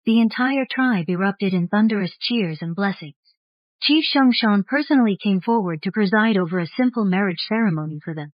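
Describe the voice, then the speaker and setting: A woman's voice: mid-range voice, lively intonation, brisk, dry recording, muffled recording. A woman narrating a scripted story in the style of an audiobook. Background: faint music.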